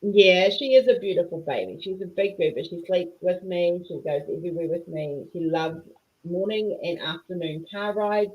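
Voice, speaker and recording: A woman's voice: moderate pace, darkish tone, poor audio. A middle-aged woman with a New Zealand accent tinged with Australian, chatting casually on a livestream in a sing-song voice that rises and falls. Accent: New Zealand, slightly mixed with Australian. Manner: sing-song, pitch going up and down. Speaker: livestream host, diamond painting artist.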